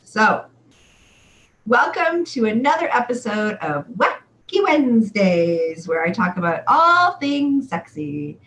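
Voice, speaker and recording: A woman in her forties hosting a chatty live webcast, talking to viewers in an exuberant, theatrical way with drawn-out emphatic words. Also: a little high, big pitch swings, fairly bright tone. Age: 40s. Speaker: livestream show host and sexual wellness coach.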